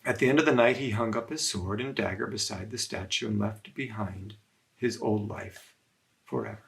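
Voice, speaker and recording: A man reading a story aloud to children as a religious brother, in measured phrases with frequent pauses. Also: fairly low pitch, gravelly, fairly bright tone.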